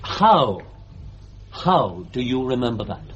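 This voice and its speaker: An actor playing a polite, persistent English defence barrister in a radio courtroom drama, formal and measured. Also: male voice, medium pitch, big pitch swings, fairly bright tone. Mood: probing. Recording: dull, noisy archive radio recording.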